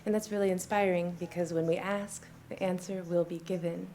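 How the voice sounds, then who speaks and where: A woman giving a spiritual, inspirational address with poetry, speaking reverently with dramatic, drawn-out emphasis. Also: fairly low pitch, steady tone, mellow. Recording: live stream with faint background noise.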